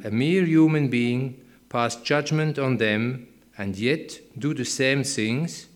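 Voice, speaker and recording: A man with a Swiss German accent, reading a Bible passage aloud in a church service in a measured, careful way. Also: medium pitch. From Switzerland. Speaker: Bible reader. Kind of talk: slow scripture reading in church.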